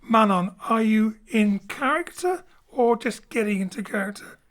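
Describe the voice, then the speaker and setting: A man's voice: high-pitched, animated, normal speed, fairly bright tone. A man speaking as host and director, giving an informal, explanatory introduction from a home studio. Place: home studio, remote link.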